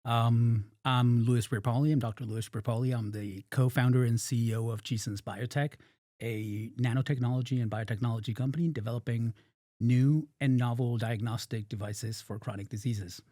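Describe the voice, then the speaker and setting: A middle-aged man from Argentina, a company founder giving measured, thoughtful interview answers. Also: medium pitch, fairly even intonation, mellow, no room sound, clean studio sound. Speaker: startup founder and guest.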